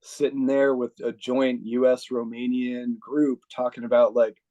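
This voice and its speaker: An American man, a retired army officer, telling stories as an interview guest in a chatty, laid-back way, full of "like" and quick laughs. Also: medium pitch, level tone, mellow, dull audio. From United States. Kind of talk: casual storytelling.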